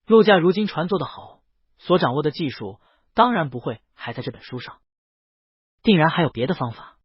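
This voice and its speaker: Shrewd, teasing lord of an auction house male reader acting, measured delivery, animated pitch, slightly husky. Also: dry recording, lo-fi sound. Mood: assured, explanatory.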